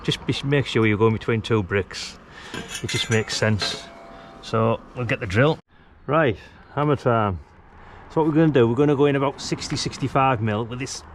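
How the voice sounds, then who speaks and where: A British man giving a casual, colloquial hands-on DIY tutorial while he works. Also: medium pitch. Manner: informal, colloquial, talking while working. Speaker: DIY vlogger, radio hobbyist. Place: outdoors at a house's brick wall. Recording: clear background noise, outdoor work ambience.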